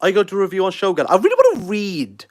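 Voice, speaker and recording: A man's voice: sits high, wildly swooping pitch, fast talker. A Welsh man in his thirties hosting a casual livestream, rambling and self-deprecating as he answers viewer comments. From Wales. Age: 30s. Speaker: livestream host.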